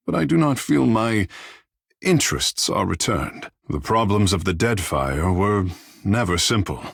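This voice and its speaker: An actor playing a flamboyant, self-admiring godlike water shaper in a fantasy video game, speaking in a grandiose, playful, theatrical manner. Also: male voice, fairly low pitch, gravelly, fairly bright tone, husky, no room sound, studio quality, heavily acted.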